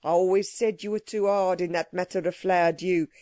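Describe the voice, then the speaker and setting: A woman's voice: on the low side, fairly even intonation, fairly quick, mellow, dry recording, clean studio sound, natural voice. A woman voicing a gloomy, reproachful businessman with a scolding, resigned manner in a storybook reading. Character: gloomy, reproachful male stockbroker partner.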